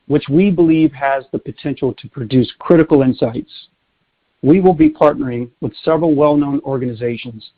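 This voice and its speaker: A man presenting as a company executive, reading prepared corporate remarks in a formal, measured way. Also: medium pitch. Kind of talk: scripted corporate earnings call presentation. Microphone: telephone. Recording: tinny narrowband conference phone line.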